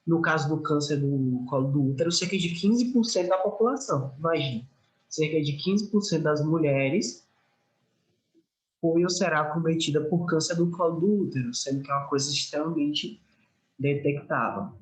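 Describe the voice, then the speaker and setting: A man's voice: on the high side, normal speed, rough recording. A young man with a Northeastern Brazilian accent, giving an informal health talk to a group as a guest speaker. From Alagoas, northeastern Brazil. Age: young adult.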